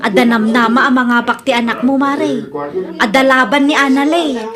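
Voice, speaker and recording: Woman acting high-pitched, chatty Filipino family friend. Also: fairly even intonation, normal speed, a crowd in the background, lightly acted. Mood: reassuring. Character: chatty, candid adult female family friend. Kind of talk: radio comedy drama acting.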